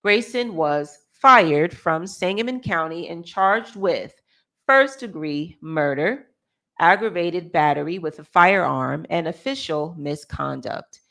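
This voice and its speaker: Female American co-host, lower register, reads articles aloud informally with small self-corrections. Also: expressive, takes it slowly, vocal fry, pushed and bright. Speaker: co-host reading a news article. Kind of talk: reading aloud with casual asides.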